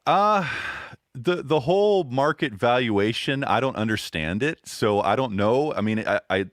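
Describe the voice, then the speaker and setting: A man with a boomy voice, a podcast guest explaining microphones and audio settings in a relaxed, casual interview chat. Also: unhurried, dry recording, studio quality. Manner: relaxed, explains gear step by step with fillers.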